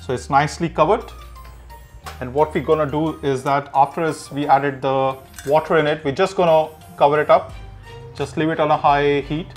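A man's voice: on the high side, steady tone, moderate pace, soft background music. A man in his thirties, a chef, giving a cooking demonstration in an indoor kitchen.

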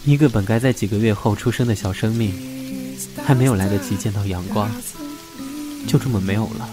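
A man's voice: fairly low pitch, brisk, lightly acted, poor audio. Actor as bitter, wounded young man, music behind. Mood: melancholy. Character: hurt, bitter young man, betrayed in love. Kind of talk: audio drama acting.

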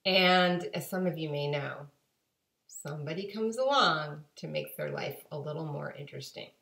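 A woman in her forties reading a storybook aloud to children with expressive, deliberate emphasis. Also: low-pitched, creaky. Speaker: guest storybook reader. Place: home kitchen-dining room. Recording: echoey home room, webcam microphone.

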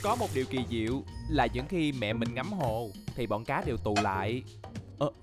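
A man voicing an earnest, easily flustered young boy hero in a cartoon dub, expressive and chatty. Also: medium pitch, normal speed, over music, lightly acted. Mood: friendly. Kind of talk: Vietnamese cartoon dubbing.

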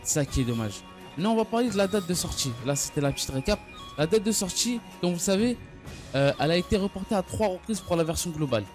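A man giving an unscripted gaming news recap, speaking haltingly and often stumbling or repeating his phrases. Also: high voice, animated, darkish tone. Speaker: gaming video creator. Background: background music.

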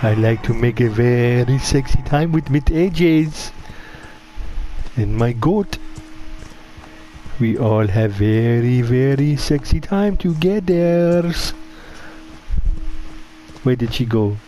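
A man's voice: mid-range voice, slow, long pauses, dark tone, faint music behind, natural voice. A man voicing a crude male killer in broken English, with swearing and leering taunts while playing a game. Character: crude foreign-sounding male killer hunting victims. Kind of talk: gameplay commentary in character.

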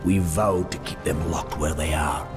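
A stern, gruff dwarf elder-in-command from a fantasy game. He speaks low and slowly, solemn and unyielding, with clear music behind. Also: fairly even intonation. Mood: accusing, solemn. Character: stern, grudge-bearing dwarf leader guarding a door. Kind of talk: fantasy video game voice acting.

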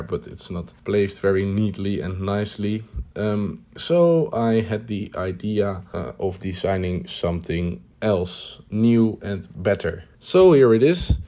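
Mellow-voiced man narrating his hobby build. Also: fairly low pitch. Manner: hesitant, many "uh" fillers, plain upbeat remarks. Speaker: hobby builder showing his home cockpit project. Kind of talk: casual voice-over narration.

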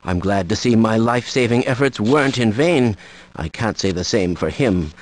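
A man playing an anxious, courteous older scientist in a video game, speaking in a measured way. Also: lowish voice, animated, slight background noise, natural voice. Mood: concerned, regretful.

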